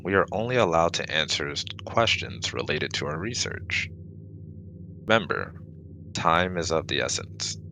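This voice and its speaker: A man acts out an officious male alien scientist in an audio roleplay, with a rock-bottom, creaky voice. His wording is precise and formal and his patience is short, yet his intonation moves around a lot. There is clear noise in the recording. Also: very deep voice, lively intonation, normal speed, pushed and bright, heavily acted, rough recording. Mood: exasperated. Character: formal, easily exasperated male alien exobiologist. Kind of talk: scripted ASMR audio roleplay.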